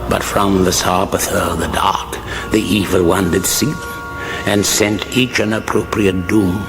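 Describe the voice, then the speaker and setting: A man's voice: sits low, fairly even intonation, unhurried, music in the background. A man acting as the grave, portentous narrator of a mock-epic fantasy radio comedy. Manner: portentous, grandly solemn.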